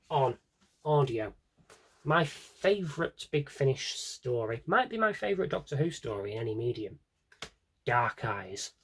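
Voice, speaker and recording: A man with a slightly husky voice chats casually as a fan showing off a collection, rambling with wry, dry asides. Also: on the high side. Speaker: audio drama collector and fan. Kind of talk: casual rambling shelf-tour vlog chat.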